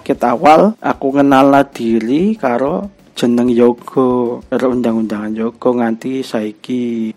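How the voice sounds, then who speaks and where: A man's voice: mid-range voice, a little mellow. A young man from Cilacap in Central Java with a Banyumasan Ngapak Javanese accent, hosting a casual solo podcast in a rambling, colloquial, hesitant way. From Cilacap, Central Java, Indonesia. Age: young adult. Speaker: podcast host.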